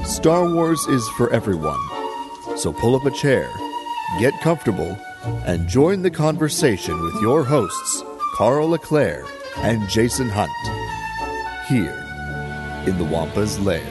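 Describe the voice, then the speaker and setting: A man's voice: medium pitch, big pitch swings, measured pace. A man announcing a podcast intro in a scripted voice-over. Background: loud music bed.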